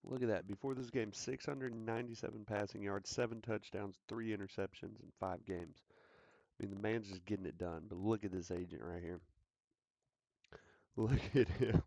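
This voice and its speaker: A man's voice: fairly low pitch, steady tone, moderate pace, gravelly, dark tone, dry recording. A man hosting a casual sports talk show, joking and hyped, repeating his lines for effect. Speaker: sports podcast host.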